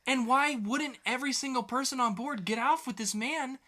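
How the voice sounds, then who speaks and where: A man's voice: extremely high pitch, normal speed, pushed and bright. A man co-hosting a casual comics podcast with a theatrically sarcastic, mock-grandiose delivery. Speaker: podcast co-host. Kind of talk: casual comic review chat.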